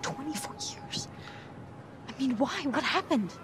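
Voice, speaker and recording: A young North American woman with a fairly high voice, as a vulnerable but determined fugitive. She speaks plainly and earnestly in naturalistic video game drama. Music is clearly heard behind her. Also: lively intonation, measured pace. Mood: shocked, hurt. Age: 20s. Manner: earnest, intense, plain-spoken. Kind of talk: naturalistic cinematic game acting.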